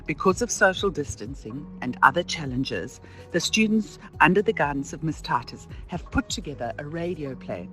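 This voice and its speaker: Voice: medium pitch, expressive, normal speed, sharp tone, soft background music, rough recording. A school presenter giving a formal, explanatory announcement about student work.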